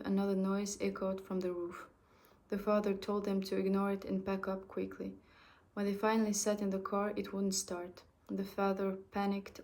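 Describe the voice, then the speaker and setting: Woman reading a story, flat and halting. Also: mid-range voice. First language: French. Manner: halting, with restarts and self-corrections.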